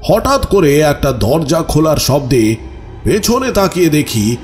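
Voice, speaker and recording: Audio horror drama: male actor as eerie village raconteur, unhurried and deliberate, widely varied intonation, music underneath. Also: on the high side, big pitch swings, darkish tone. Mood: ominous, suspenseful. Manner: suspenseful, deliberate, dramatic pauses.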